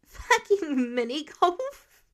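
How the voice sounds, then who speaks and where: Teen male superhero for audio story, played by a woman: high voice, emphatic, exasperated, sarcastic, hurt underneath. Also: very animated, extremely slow, fairly bright tone, heavily acted. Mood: casual, teasing. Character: hot-headed, wounded teenage boy superhero, sarcastic.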